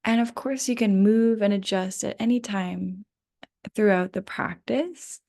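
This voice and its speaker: Slow, creaky-voiced woman guiding meditation. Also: mid-range voice. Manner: gentle, unhurried, with long pauses. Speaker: yoga nidra guide. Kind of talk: guided rest meditation.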